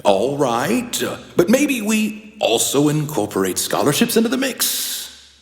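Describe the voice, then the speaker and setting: An actor playing a deferential, earnest council member who speaks hesitantly in an audio drama. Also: male voice, a little high, huge pitch swings, a little slow, vocal fry, heavily acted. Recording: echoey hall with long reverb.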